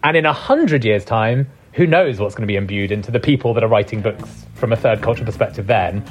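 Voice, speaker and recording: A man's voice: on the low side, brisk, soft background music. Thoughtful man, cookbook author. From Britain. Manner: thoughtful, searching for words, many fillers. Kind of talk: reflective conversational interview.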